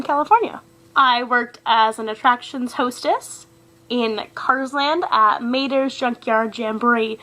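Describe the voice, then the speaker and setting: Young adult female vlogger, higher-pitched and very bright, upbeat and emphatic advice-giver. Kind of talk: upbeat scripted advice to camera.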